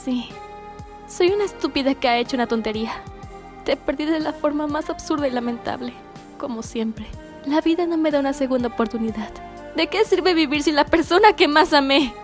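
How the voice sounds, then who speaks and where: A woman playing an affectionate, emotional young student girlfriend in an animated story. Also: high-pitched, a little fast. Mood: remorseful, pleading. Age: young adult. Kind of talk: acted dialogue for an animated story. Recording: voice over background music.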